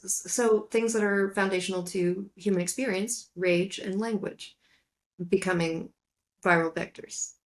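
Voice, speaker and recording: A woman's voice: medium pitch, fairly even intonation, a little slow. A woman co-hosting a casual film discussion, talking in a playful, jokey way that wanders into digressions. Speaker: podcast co-host.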